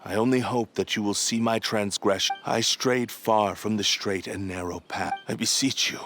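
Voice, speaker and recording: A man's voice: fairly low pitch, steady tone, moderate pace, fairly bright tone, close to an everyday voice. A man voicing the grave, remorseful spirit of an old sword in a video game, speaking in formal, archaic phrases. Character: male spirit of an old cursed blade, solemn and remorseful. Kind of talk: dramatic video game voice acting.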